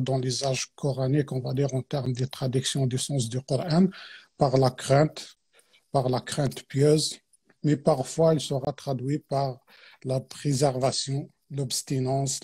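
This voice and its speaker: Guy teaching on a livestream, mellow husky voice, lots of euh and restarts. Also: mid-range voice. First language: Arabic. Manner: hesitant, many fillers and restarts. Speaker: guest teacher. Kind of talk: explanatory religious talk on a live stream.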